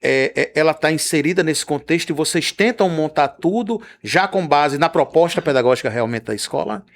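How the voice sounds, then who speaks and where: A man's voice: a little high, lively intonation, moderate pace. A man with a Brazilian Portuguese accent hosting a radio interview, asking long, winding questions with "não é?" tags.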